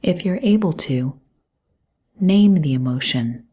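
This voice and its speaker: Meditation guide, female. Very slow, breathy delivery, gentle and calm, often close to a whisper. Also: deep voice. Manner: gentle, calm, unhurried instruction with long pauses. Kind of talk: guided mindfulness meditation, scripted narration.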